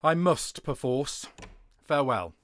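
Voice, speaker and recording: A man's voice: on the high side, animated, slow pace, a bit bright, a little hiss or hum. Earnest captive nobleman, widely swooping. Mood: aggrieved, resigned. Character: wronged, trusting nobleman under arrest, king's brother. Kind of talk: classical Shakespearean audio theatre.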